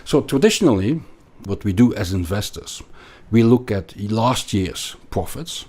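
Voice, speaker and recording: Man, Belgian accent, low pitch, conversational expert guest, halting, repeated words, emphatic stress. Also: expressive, dark tone, breathy edge. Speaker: podcast guest, investment analyst. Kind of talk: conversational explanation in an interview.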